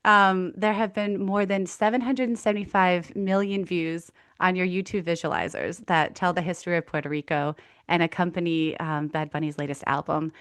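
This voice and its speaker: A woman's voice: lowish voice, steady tone, normal speed, fairly bright tone, clean tone, no room sound. A woman hosting an online event, polished and welcoming, with the odd filler. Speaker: event host.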